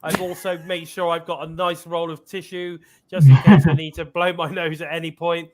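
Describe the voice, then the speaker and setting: A man's voice: a little high, little pitch movement, crowd noise. A man hosting a live-stream talk show, chatty and upbeat, with a lingering cough. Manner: upbeat, chatty, drawn-out fillers. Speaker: podcast host. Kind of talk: casual live-stream chat.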